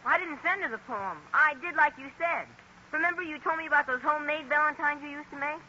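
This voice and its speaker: A literal-minded, earnest schoolboy in a 1940s radio sitcom. The boy's voice is high and very bright. It sits on a dull vintage recording with faint noise. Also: quick pace, exaggerated voice.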